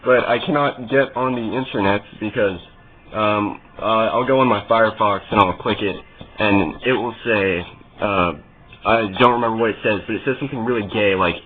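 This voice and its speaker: A man making a prank phone call as a customer, speaking in a halting, deadpan, mock-earnest way. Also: on the low side, slight background noise. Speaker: prank caller. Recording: muffled narrowband phone call.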